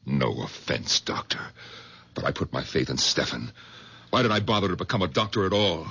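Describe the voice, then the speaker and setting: An actor playing a bitter country doctor in a 1970s radio drama, by turns exasperated and elated. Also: male voice, sits low, normal speed, a little hiss or hum, full character voice. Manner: exasperated, then overjoyed and excitable. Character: bitter middle-aged male country doctor.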